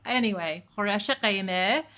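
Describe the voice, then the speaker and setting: A woman with a Midwestern American accent co-hosting a cooking podcast, speaking with warm, self-deprecating enthusiasm. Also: medium pitch, normal speed. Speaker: podcast co-host. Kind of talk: casual chat.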